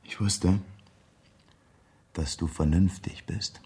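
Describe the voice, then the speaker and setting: A radio-drama blackmailer, a cold ex-convict with a low, husky voice. He presses his threats in a measured, insistent way, menacing and sure of his hold. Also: steady tone, warm tone, full character voice.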